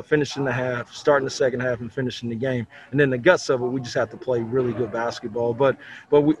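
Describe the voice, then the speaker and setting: Middle-aged coach, plainspoken and halting. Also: male voice, medium pitch, a little hiss or hum. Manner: plainspoken, repeats words, many you-knows. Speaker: head basketball coach. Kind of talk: postgame interview. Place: large indoor arena, masked.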